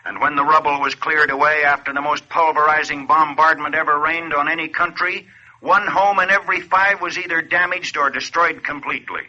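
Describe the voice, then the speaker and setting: A man's voice: a little high, steady tone, a little fast, bright, forceful tone. A male actor playing a grave, urgent wartime narrator, declaiming a scripted 1940s radio appeal. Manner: solemn, declamatory. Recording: dull lo-fi 1940s radio recording.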